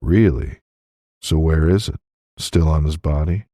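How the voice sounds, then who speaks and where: Guy doing wary old west gunslinger, super deep and mellow, serious drawl, close dry sound. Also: a little slow, no echo. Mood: grim, wary. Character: hardened gunslinger William, wary, shrewd drifter in the Old West.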